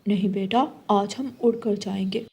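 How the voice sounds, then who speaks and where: A woman's voice: medium pitch, steady tone, measured pace, vocal fry, darkish tone, husky, exaggerated voice. A woman playing a devoted, patient father bird who indulges his child, in a children's cartoon. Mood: indulgent. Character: devoted adult father bird, gentle, self-sacrificing.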